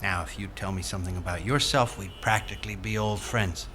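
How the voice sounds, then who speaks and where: An actor playing a calm, friendly police officer in an audio drama, measured and placating as he negotiates. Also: fairly low pitch. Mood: calm, coaxing. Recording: faint city noise behind.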